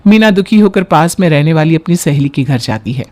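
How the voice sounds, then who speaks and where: A woman's voice: deep voice, lively intonation, brisk, warm tone. A woman giving scripted storytelling narration for a Hindi family cartoon.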